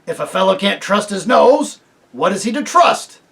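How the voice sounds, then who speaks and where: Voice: medium pitch, very animated, moderate pace, creaky, a bit bright, pitched above the performer's own voice. A storybook reader voicing a stubborn bulldog who blusters and takes offence. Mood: indignant. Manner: blustering, indignant.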